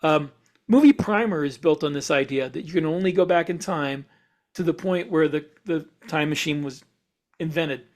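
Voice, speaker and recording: A man's voice: a little high, moderate pace. A man co-hosting a podcast, explaining speculative ideas in a casual way and piecing his thoughts together as he goes. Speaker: podcast co-host.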